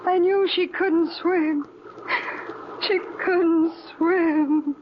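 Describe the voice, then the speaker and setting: Earnest shaken friend, plaintive, high voice, 1950s radio drama, muffled noisy recording. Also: female voice, steady tone, drawn-out words, full character voice. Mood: distressed. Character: shaken actress woman, friend of a missing woman.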